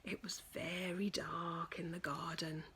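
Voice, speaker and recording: A woman reading a children's picture book aloud as a storyteller, with deliberate, dramatic emphasis for young listeners. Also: fairly low pitch, little pitch movement, a bit bright, husky.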